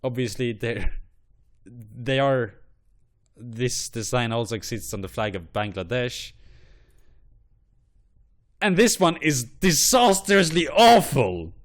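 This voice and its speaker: A man's voice: mid-range voice, slow, pauses often. Profane, rambling male commentator. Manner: profane, blunt, animated. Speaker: online show host. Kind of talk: ranting commentary on flags.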